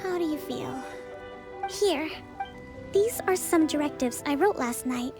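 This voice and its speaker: Young priestess leader, high voice, composed, formal, gentle, video game dialogue, music behind. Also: female voice, expressive, a little slow. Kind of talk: English video game voice acting.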